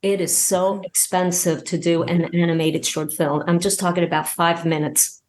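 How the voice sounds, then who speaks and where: A woman with a slightly low voice chats as a guest on a podcast. She sounds keen and excited, talking in a halting, conversational way and doubling back on her words. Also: slight background noise. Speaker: podcast guest, audio drama creator. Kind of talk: casual interview chat.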